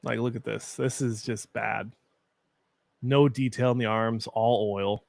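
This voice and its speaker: A young man with a deep voice, chatting casually and giving opinions while hosting a live-stream watch party. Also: steady tone, unhurried, vocal fry, dry recording. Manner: chatty, opinionated, frequent fillers.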